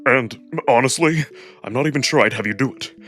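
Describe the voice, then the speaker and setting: A man's voice: a little fast, a bit bright, natural voice. Male actor as big tender wolf alien, visual novel drama: earnest, emotional, shaken delivery, music underneath. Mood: regretful, explaining. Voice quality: deep voice, shaken and breaking. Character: huge alien wolf man, tender, anxious, lovestruck.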